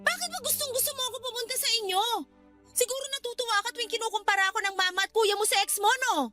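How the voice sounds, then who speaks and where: A very high, bright voice playing an indignant young girlfriend in a radio drama. She is hurt and sarcastic, standing her ground, with music behind her. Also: very fast. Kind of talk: Filipino radio drama acting.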